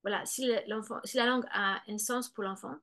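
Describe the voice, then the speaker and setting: A woman's voice: mid-range voice, steady tone, a little fast, fairly bright tone. A woman explaining bilingual children in a conversation, speaking hesitantly with many fillers and restarts, and acting out what children and parents say. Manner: acts out children's and parents' words. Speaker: guest expert on bilingual children.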